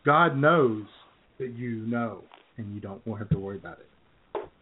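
A man's voice: medium pitch, animated, unhurried, background noise. A man co-hosting a comedy podcast in dry, sarcastic casual chat, heard through a tinny, phone-like recording. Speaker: podcast co-host.

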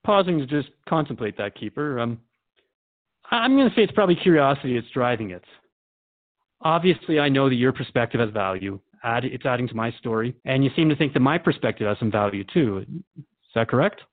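Guy acting as a casual radio host asking questions, medium pitch, kinda muffled lo-fi audio. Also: lively intonation, a little fast, close to an everyday voice. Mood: questioning. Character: human radio host, easygoing but questioning interviewer. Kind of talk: conversational radio drama interview.

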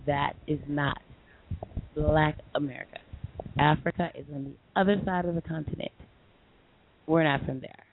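A woman's voice: low-pitched, steady tone, normal speed, long pauses. Emphatic woman radio host on a phone line. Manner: emphatic and defiant, repeats herself. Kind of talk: late-night call-in radio chat. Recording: tinny phone line with faint hiss.

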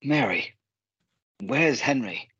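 A man playing an urgent, worried Victorian gentleman in a radio play, on a dull, muffled recording. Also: medium pitch, lively intonation, slow pace, husky, no echo, heavily acted. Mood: alarmed, insistent. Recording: dull, muffled, dry audio.

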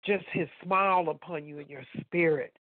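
A woman's voice: low voice, normal speed, dry recording. A woman co-hosting a faith talk radio show with a stuffy nose, testifying emphatically and talking straight to listeners. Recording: dry phone-line sound.

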